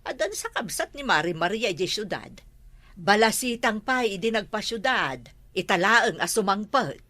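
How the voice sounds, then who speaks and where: A woman's voice: mid-range voice, big pitch swings, moderate pace, bright, forceful tone. A middle-aged woman with an Ilocano accent, playing a mother in a comedy drama, scolding and advising.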